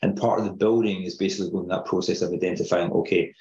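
A middle-aged man with a Scottish accent, explaining things in a measured way as a guest on a podcast interview. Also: sits low, steady tone, a little mellow, echoey room, lo-fi sound. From Scotland. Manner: measured, explanatory, uses filler phrases. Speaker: company founder guest.

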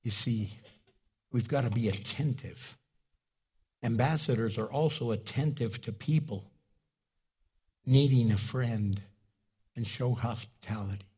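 A male pastor whose first language was Spanish, preaching a sermon in a storytelling way that jumps into loud, high bursts. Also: unhurried, long pauses, husky.

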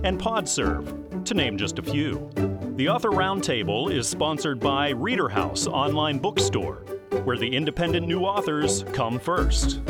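A man hosting an author podcast, with a polished radio-style delivery. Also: mid-range voice. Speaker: podcast host. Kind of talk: interview host, scripted intro.

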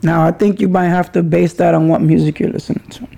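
A man's voice: on the high side, fairly even intonation, quick pace, vocal fry, dark tone. A middle-aged man from the Bronx hosting a casual solo podcast, talking in a rambling, hedging, conversational way. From New York, the Bronx. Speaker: podcast host.

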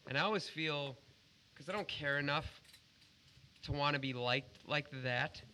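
Bright-voiced, earnest man chatting on a podcast. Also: on the high side, a little slow, a little hiss or hum. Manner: earnest, emphatic, heavy swearing. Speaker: podcast co-host. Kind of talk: casual podcast chat.

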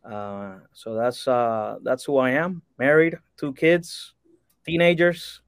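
A man's voice: medium pitch, steady tone, takes it slowly, clear, resonant tone, no room sound, studio quality. A man in his forties from Cuba, a real estate broker talking earnestly as a podcast guest, with frequent fillers and restarts. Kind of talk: podcast interview.

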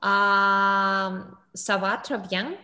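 A woman student practicing a beginner French conversation on a video call, hesitating and pausing often. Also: mid-range voice, little pitch movement, bright, forceful tone. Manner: hesitant, many pauses and fillers while practicing French.

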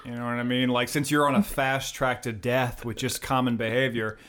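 A man hosting a podcast, roasting his co-hosts in deadpan, teasing casual chat. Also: medium pitch, fairly quick, clean tone. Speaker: podcast host.

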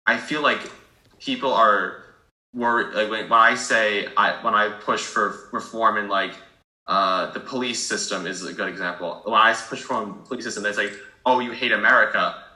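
A man's voice: fairly low pitch, moderate pace, bright, forceful tone, reverberant, rough recording. A teenage boy in a group discussion, talking thoughtfully with lots of fillers and hedges. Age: teens. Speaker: discussion participant.

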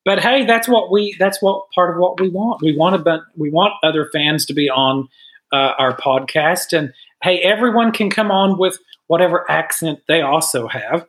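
A middle-aged man co-hosting a podcast over a video call, talking earnestly in casual chat with a hesitant, halting delivery. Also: a little high, fairly bright tone. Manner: earnest, hesitant with many uh fillers and restarts. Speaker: podcast co-host.

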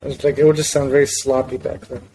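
A man's voice: mid-range voice, flat delivery, fast, warm tone, breathy edge, low-quality recording. A young man from South Florida, a guitarist and singer, talking in a laid-back, hesitant way as a podcast guest. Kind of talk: casual interview chat.

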